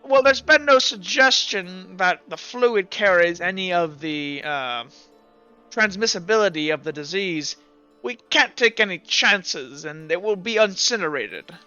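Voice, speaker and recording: A man voicing a fussy, formal plague doctor in a tabletop game, halting but insistent. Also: high-pitched, animated, bright, forceful tone, smooth, muffled recording, faint music behind, heavily acted. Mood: officious.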